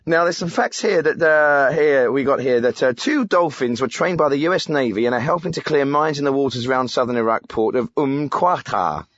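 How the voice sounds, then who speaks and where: A man's voice: mid-range voice, normal speed, hardly any pauses, fairly bright tone, no echo, close to an everyday voice. A man playing an earnest, bumbling English radio phone-in host in a spoof show, hesitant and repetitive.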